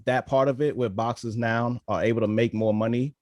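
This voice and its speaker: A relaxed man co-hosts a casual podcast interview, agreeable in his replies. His mid-pitched voice is recorded dry, with no room sound. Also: brisk, dry recording. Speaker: podcast co-host.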